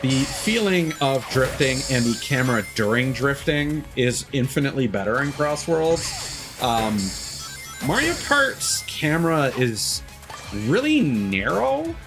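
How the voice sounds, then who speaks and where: Loud game music plays behind a man with a slightly ordinary, middle-pitched voice. He is live streaming a video game, giving casual commentary. His talk is rambling and opinionated, like someone thinking aloud to viewers. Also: big pitch swings, unhurried.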